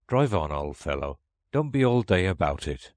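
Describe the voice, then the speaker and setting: A man's voice: deep voice, sing-song, moderate pace, darkish tone, no echo, studio quality. A man voicing a brusque, scolding Gryphon from a storybook, with blunt, rustic, uneducated diction. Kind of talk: storybook character reading.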